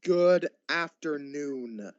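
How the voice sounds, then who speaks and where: A man's voice: on the high side, animated, very slow, no echo, muffled recording. A man playing a miserly, grumpy old businessman in a radio drama, curt and scornful. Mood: irritated.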